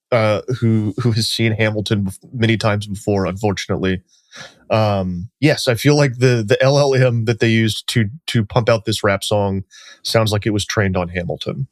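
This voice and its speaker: A man's voice: fairly low pitch, dry recording. A man talking as a reporter guest on a podcast, in a loose, chatty way full of "like" and "um.". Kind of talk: casual podcast chat.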